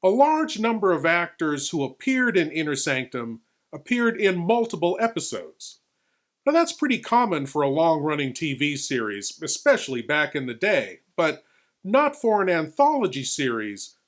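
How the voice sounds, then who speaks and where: High-pitched, swooping male horror host. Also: big pitch swings, moderate pace. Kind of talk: scripted hosting commentary on classic television.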